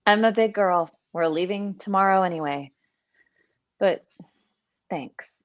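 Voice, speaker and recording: On dull, muffled audio, a guarded young female camp counselor, acted by a woman speaks very slowly. It is a horror script read, and she holds her feelings back. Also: natural voice. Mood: let down. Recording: muffled, dull low-fi microphone.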